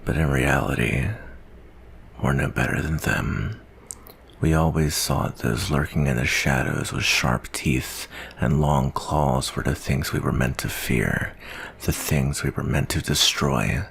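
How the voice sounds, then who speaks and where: Male actor as a war-weary monster hunter, husky and breathy, extremely low, brooding, guilt-ridden, ASMR roleplay. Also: fairly bright tone, full character voice. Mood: brooding, bitter. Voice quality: very deep, gravelly, husky. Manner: slow, brooding, weary delivery.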